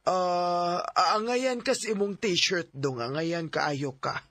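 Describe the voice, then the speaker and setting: A woman's voice: fairly low pitch, slow, a bit bright, no echo. A Filipina with a Bisaya accent playing a chatty, teasing, doting mother in a radio drama.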